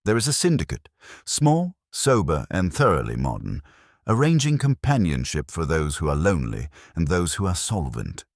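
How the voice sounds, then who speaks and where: A man voicing a prim, shrewd older woman, genteel and dryly amused, with crisp, precise speech in a storybook reading. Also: low voice, huge pitch swings, normal speed, no room sound, studio quality, exaggerated voice. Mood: confiding, wry.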